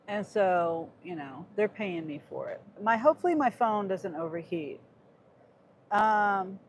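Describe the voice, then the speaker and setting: A woman's voice: mid-range voice, a little hiss or hum. A middle-aged woman with a Midwest American accent, chatting on a livestream from her car and reading viewer chat aloud. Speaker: gig worker livestreamer. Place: inside a car. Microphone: phone on a dashboard mount.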